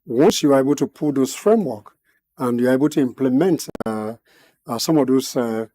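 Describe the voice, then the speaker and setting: A man gives measured expert commentary in a TV studio. His voice is mellow and dark, his phrasing formal and punctuated by frequent hesitations. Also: mid-range voice. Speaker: guest analyst.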